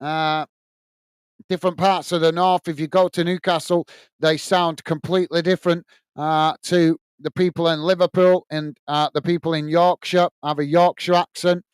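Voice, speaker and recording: A man's voice: a little high, little pitch movement, moderate pace, clean tone, no room sound. A man with a strong Lancashire accent hosting a live football watch-along, calling the play and reading out viewer comments in a chatty way with lots of "uh"s. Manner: chatty, reads out chat messages with filler "uh".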